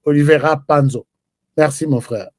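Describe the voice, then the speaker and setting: A man's voice: fairly high pitch, normal speed, a little mellow, dry recording, clean studio sound. A man from Congo hosting a live political commentary show, chatting and reading viewer comments. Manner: chatty, switches between French and Lingala, reads viewer comments. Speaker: host.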